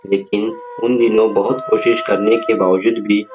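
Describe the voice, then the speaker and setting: A man tells a moral story from a script. His voice is fairly low, and he speaks as the narrator. Music plays behind him. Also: level tone, moderate pace, lo-fi sound.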